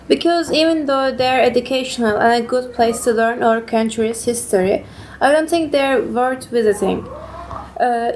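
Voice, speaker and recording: A woman's voice: a little high, a little hiss or hum, low-quality recording. A teenage girl from Turkey giving her opinion in a student podcast, hesitant with frequent ums. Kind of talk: podcast discussion.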